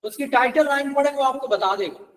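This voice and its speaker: A man's voice: extremely high pitch, a little fast, recorded from a distance, a little hiss or hum, poor audio. A Pakistani man giving a classroom law lecture in a conversational way, mixing in English terms and checking points with rhetorical questions.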